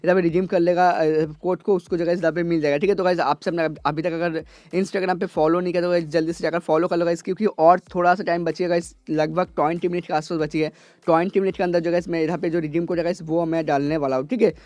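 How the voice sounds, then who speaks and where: A hoarse young man, a gaming YouTuber talking casually and rambling, filling every pause with "guys". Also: a little high, flat delivery, darkish tone, no echo. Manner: repeats "guys" constantly, rambling filler-heavy delivery. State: hoarse from a cold. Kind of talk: casual screen-recorded gaming update.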